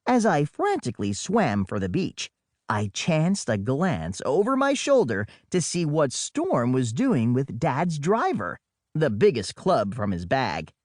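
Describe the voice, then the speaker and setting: A man's voice: mid-range voice, wildly swooping pitch, a little slow, darkish tone, dry recording, studio quality. A man reading a children's adventure audiobook in the first person as a boy storyteller, with dramatic delivery and wry conversational asides. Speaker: audiobook narrator.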